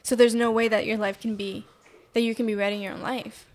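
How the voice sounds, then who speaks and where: A woman's voice: a little high, level tone, a little fast. A young woman hosting a casual podcast chat, argumentative and profane, often laughing. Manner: argumentative, swears, laughs a lot. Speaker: podcast host.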